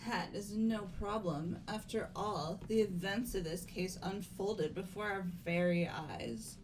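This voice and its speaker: Woman playing a slow-speaking, aloof young lady. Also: mid-range voice, background noise, close to an everyday voice. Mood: cool, detached. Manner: deliberate, formal, detached. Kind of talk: fan-dubbed visual novel acting.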